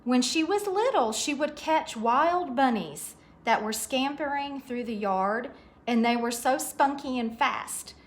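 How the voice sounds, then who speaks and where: A woman storyteller reads aloud for small children in a fairly high voice that swings widely in pitch. She takes her time, speaking expressively and often turning to her young listeners as she goes. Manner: expressive, dramatic gasps and questions to listeners. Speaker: storyteller reading a picture book to children.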